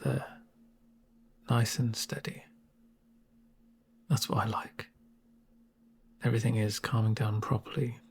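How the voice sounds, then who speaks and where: A man acts out a gentle male medic in an ASMR roleplay. The voice is very breathy and soft, with a gravelly creak underneath. He speaks slowly and soothingly, slipping in deadpan, sardonic asides. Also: mid-range voice, full character voice. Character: calm male corporate medic, gentle, reassuring, drily sardonic. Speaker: medic caring for a patient.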